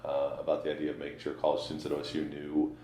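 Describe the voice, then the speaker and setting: A man's voice: rumbling low, monotone, racing, mellow. A man talking casually in a documentary interview. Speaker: church acquaintance and local mayoral candidate interviewed. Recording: echoey room, faint noise.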